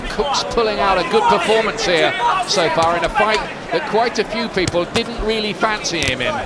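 A man giving live boxing commentary at ringside, mostly measured play-by-play that rises to excited shouting at the knockdowns. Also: sits high. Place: arena ringside. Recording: broadcast with loud crowd noise. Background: loud arena crowd.

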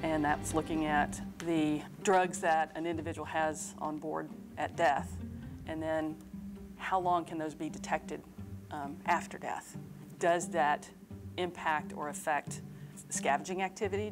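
A woman's voice: sits low, fairly even intonation, unhurried. A woman from a forensic center answering interview questions in a thoughtful, questioning way. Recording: interview with music underneath.

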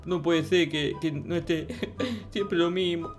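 A naive, excitable noob boy acted out by a gamer in an improvised game skit. The talk stammers, loops on the same words and bursts into exclamations. Music plays behind. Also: medium pitch, slow, lightly acted. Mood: puzzled, whiny. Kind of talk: improvised gaming roleplay skit.

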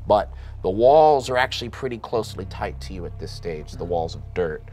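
A man running a tabletop game, narrating the action in a halting, descriptive way. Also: deep voice, lively intonation, darkish tone, soft background music. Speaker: game master. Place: studio set at a table.

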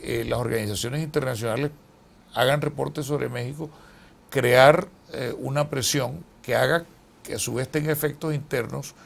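An older man with a Colombian accent, a journalist answering interview questions in a TV studio, deliberate and full of hesitant fillers. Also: medium pitch, creaky. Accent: Colombian Spanish. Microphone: lapel.

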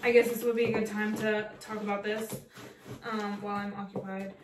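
A woman's voice: mid-range voice, little pitch movement, brisk, noisy background. A young woman from Mexico chatting casually about plants in a bedroom, filmed by a camera across the room. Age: young adult. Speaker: plant vlogger. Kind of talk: casual chat. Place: bedroom, seated on bed. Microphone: far camera. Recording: roomy echo, distant from mic.

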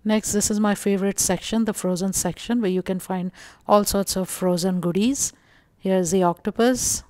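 A woman from India narrating a casual shopping walkthrough vlog, calm and explanatory with frequent pauses and fillers. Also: medium pitch, no room sound. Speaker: vlogger.

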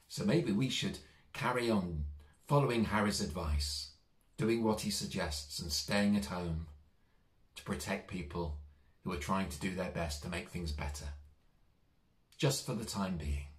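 A middle-aged man reading and talking to children in a gentle, reassuring, expressive way. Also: low-pitched. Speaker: storyteller. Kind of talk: children's story reading, direct address to young listeners. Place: small child's bedroom, indoors. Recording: echoey domestic room.

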